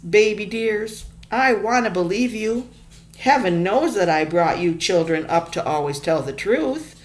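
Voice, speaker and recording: Woman reading aloud, doing a gentle, reassuring grandma; slow and soft. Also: mid-range voice, expressive, lightly acted. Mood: tender.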